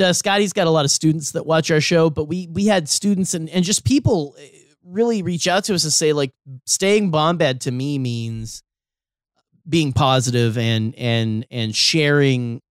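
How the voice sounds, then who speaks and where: A man's voice: mid-range voice, clean tone, no echo, clean studio sound. A young man co-hosting a podcast, talking earnestly in a rambling way, with lots of restarts. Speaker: podcast co-host. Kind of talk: casual podcast chat.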